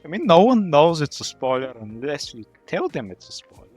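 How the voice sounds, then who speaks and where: A woman's voice: low voice, sing-song, brisk, a little mellow, soft background music. A woman co-hosting a podcast, chatting casually and teasing the guests. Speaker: podcast co-host. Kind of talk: casual interview chat.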